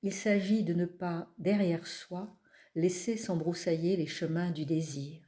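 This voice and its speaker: Slow, mellow woman reading poetry. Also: on the low side. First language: not French. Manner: calm, measured, pausing between phrases. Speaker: poet reading her own work.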